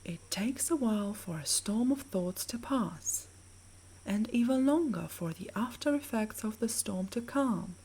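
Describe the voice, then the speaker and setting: A woman with a soft, breathy voice narrating a scripted guided sleep meditation in a soothing, measured, gently instructing way. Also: mid-range voice, expressive.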